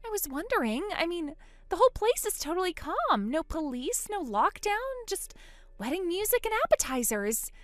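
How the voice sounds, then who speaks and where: A woman's voice: extremely high pitch, big pitch swings, moderate pace, no room sound, voice pushed up. A frightened young woman pleads and panics, as a woman puts her on. Her voice climbs far above the narrator's own and swings widely. The recording is dry and close. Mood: scared.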